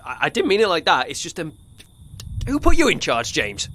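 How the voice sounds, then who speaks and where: A man's voice: on the high side, wildly swooping pitch, a little fast, bright, forceful tone, full character voice. A man playing an awkward, defensive policeman on leave in an audio drama, stammering and easily flustered. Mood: flustered, indignant.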